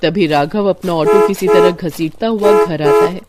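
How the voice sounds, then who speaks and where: A woman's voice: fairly low pitch, huge pitch swings, measured pace. A woman narrating a scripted moral story as a storyteller.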